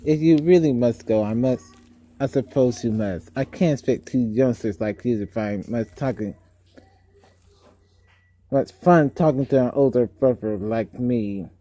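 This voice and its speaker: A man's voice: mid-range voice, unhurried, dark tone, lightly acted. A man voicing a storybook villain, a sly older uncle who is cunning, wheedling and falsely genial. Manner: cunning, wheedling, self-pitying.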